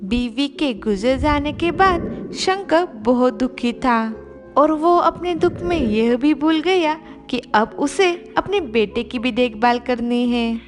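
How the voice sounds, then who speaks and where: A woman's voice: high-pitched, expressive, slow pace. A woman narrator reading a scripted children's moral story. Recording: music behind.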